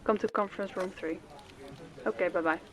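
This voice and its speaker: A woman's voice: lowish voice, steady tone, fairly quick, very noisy, lightly acted. A woman playing a stern crime boss in an audio roleplay, speaking in a commanding, businesslike way. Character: stern female crime boss running her department heads. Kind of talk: scripted audio roleplay acting.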